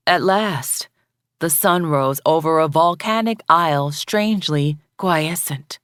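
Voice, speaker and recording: A woman reading a story aloud as an audiobook narrator, in a solemn, measured and dramatic way. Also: fairly low pitch, no room sound, clean studio sound.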